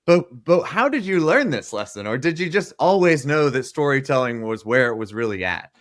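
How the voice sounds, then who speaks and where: A man's voice: medium pitch, big pitch swings, moderate pace, a bit bright, dry recording. A man hosting an interview podcast, talking with eager enthusiasm as he asks long, friendly questions. His voice sits in the middle range and sounds dry and close to the mic, with no room around it. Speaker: podcast co-host.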